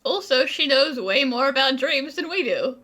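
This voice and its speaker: A woman's voice: sits high, animated, normal speed, sharp tone. A woman giving casual gameplay commentary in a playful, wry way. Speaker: gamer playing along.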